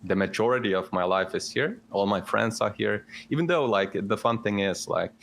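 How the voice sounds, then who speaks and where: Deep gravelly Bosnian-accented man. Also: moderate pace, slight background noise. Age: 30s. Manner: relaxed, rambling with many fillers and restarts. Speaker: guest content creator. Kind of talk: casual podcast interview.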